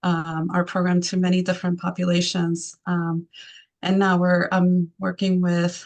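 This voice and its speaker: A woman with an Argentine accent, whose first language is Spanish, speaks in an even, level tone with a gravelly edge. She answers interview questions in a thoughtful way, pausing often and restarting her sentences. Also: on the low side. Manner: thoughtful, many fillers and restarts. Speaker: arts program founder.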